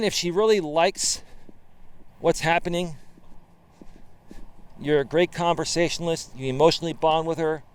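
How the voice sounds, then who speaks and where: Male dating coach, late 40s, smooth voice sitting slightly high, emphatic walking-vlog delivery recorded outdoors. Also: a little mellow. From Bay Area, California. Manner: emphatic, keeps tagging "right?". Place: outdoor trail.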